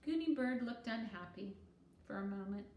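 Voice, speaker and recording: A woman doing an expressive children's read-aloud, with deliberate, teacherly explanations as storyteller. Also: medium pitch. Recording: roomy echo, faint background noise.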